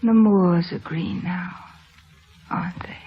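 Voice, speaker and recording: Slow, dreamy dying young woman. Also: lowish voice, fairly even intonation. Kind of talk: 1940s-50s radio drama acting. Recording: muffled old radio broadcast with music.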